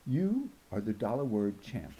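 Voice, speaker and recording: A man voicing a patient, fair male schoolteacher with a measured, gently correcting manner in a storybook. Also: lowish voice, big pitch swings, a little hiss or hum, rough recording. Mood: approving.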